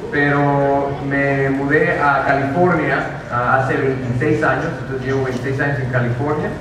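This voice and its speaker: A man interpreting a sermon, earnest, with restarts. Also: medium pitch. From Mexico. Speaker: interpreter and minister. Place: large dim auditorium. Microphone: handheld mic, far camera. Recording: echoey hall from far off, noisy.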